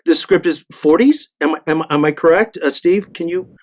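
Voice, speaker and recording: A man on a discussion panel, making his argument haltingly, repeating words and stressing the key ones. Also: on the high side, a little mellow. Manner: halting and repetitive, yet emphatic on key words.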